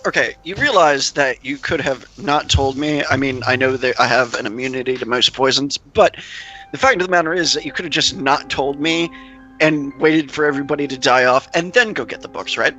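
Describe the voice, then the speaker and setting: A man's voice: medium pitch, brisk, sharp tone, soft background music, low-quality recording. A man talking casually, partly in character, as a player in a tabletop role-playing game.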